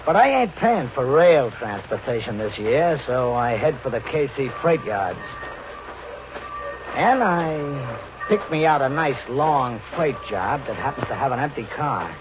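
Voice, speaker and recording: An actor playing a streetwise, jumpy young American drifter in an old radio thriller, talking in colloquial style. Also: male voice, mid-range voice, very animated, unhurried, music in the background. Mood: easygoing. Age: young adult. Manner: colloquial first-person storytelling. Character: streetwise, jumpy twenty-one-year-old male drifter riding freight trains. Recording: dull, noisy old radio recording.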